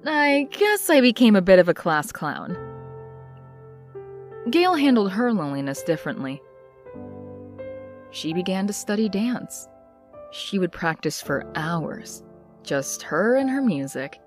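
A woman playing a young woman confiding her painful past in an expressive, reflective comic dub narration. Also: mid-range voice, unhurried, pauses often, faint music behind.

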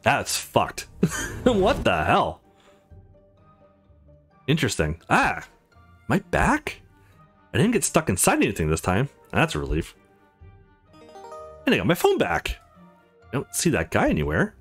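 A man's voice: medium pitch, huge pitch swings, unhurried, long pauses, fairly bright tone, faint music behind. A man doing let's play commentary, reading a visual novel's lines aloud with acted delivery and his own reactions between them. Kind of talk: gaming commentary with read-out dialogue.

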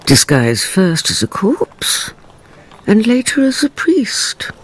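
A woman narrating a radio drama in a slow, expressive, slightly breathy voice. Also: mid-range voice, poor audio.

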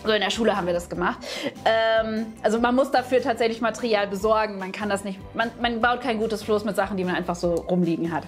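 A woman's voice: mid-range voice, fast. A young woman in casual banter, asking questions and explaining things. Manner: inquisitive, explanatory. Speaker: player questioning stories to spot lies. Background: music.

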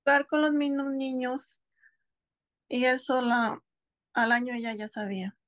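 A woman's voice: sits high, measured pace, dry recording. A woman in her thirties from Mexico, a homeschooling mother speaking on a video call, thoughtful and hesitant, often restarting her words. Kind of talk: casual interview conversation. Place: home, seated on a couch.

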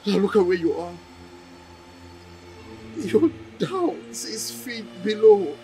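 A man's voice: sits high, very animated, takes it slowly, mellow, soft background music, exaggerated voice. A man playing a grieving, bitter friend who scolds at a grave. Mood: bitter grief. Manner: bitter, reproachful.

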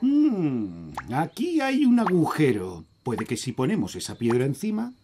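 A man's voice: on the high side, measured pace, music in the background. A man voicing a blustering, self-assured middle-aged fairy king in a children's cartoon. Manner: pompous, expressive. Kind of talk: animated children's cartoon dub.

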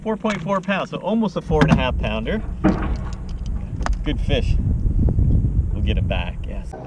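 A man's voice: fairly high pitch, big pitch swings, a little slow. A middle-aged man giving casual, upbeat fishing commentary in short exclamatory phrases, talking to a camera outdoors on a boat. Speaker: fishing vlogger. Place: outdoors on a small boat on a lake. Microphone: camera mounted on the boat, a few feet away. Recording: loud wind noise on camera mic. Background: wind and water noise.

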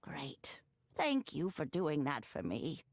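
Elderly country granny, slow and creaky, doting, teasing, cozy game character. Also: female voice, low voice, dry recording, studio quality, exaggerated voice. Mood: warm fussing. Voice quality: creaky, aged. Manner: slow, homespun, expressive. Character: folksy, doting, teasing old grandmother on a farm. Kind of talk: cozy video game voice acting.

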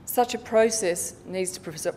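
A middle-aged woman giving a prepared formal conference presentation in a measured way, occasionally stumbling as she reads from her notes. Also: mellow. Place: conference hall stage, indoors. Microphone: lectern microphone. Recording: reverberant hall, audible low background rumble.

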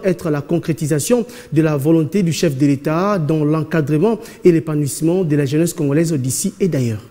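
Mellow-voiced man reading a news report. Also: fairly high pitch. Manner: steady formal reading. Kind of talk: news voice-over.

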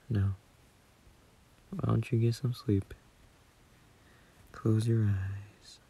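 A man playing a gentle, shy, doting young boyfriend in a sleep-aid audio roleplay, murmuring softly and hesitantly close to a phone mic. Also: lowish voice, fairly even intonation, very slow, long pauses, exaggerated voice. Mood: soothing, tender. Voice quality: husky, soft, breathy murmur.

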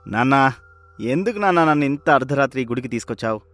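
Male actor as village chief's fearful son, story cartoon: anxious, urgent, medium pitch. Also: animated, fast, a bit bright, no echo, natural voice. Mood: frightened. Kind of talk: animated moral story character acting.

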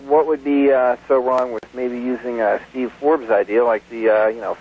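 Husky man, phone-like sound. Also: mid-range voice, steady tone, moderate pace. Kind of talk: halting casual discussion. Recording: narrow, phone-like old broadcast sound.

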